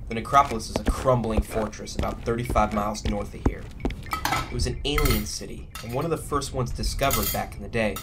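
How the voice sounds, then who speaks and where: A man's voice: fairly low pitch, noisy background, close to an everyday voice. A man playing an adventurous, earnest young crewman in a science fiction audio drama, talking in a casual way. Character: adventurous young spaceship crewman, earnest persuader with a haunted past. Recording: music playing under the dialogue.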